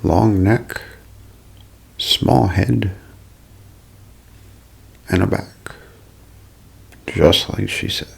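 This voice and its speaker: A man narrating a scripted mystery as ASMR in a breathy, hushed half-whisper, phrase by deliberate phrase. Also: sits low. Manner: slow, deliberate, broken into short phrases.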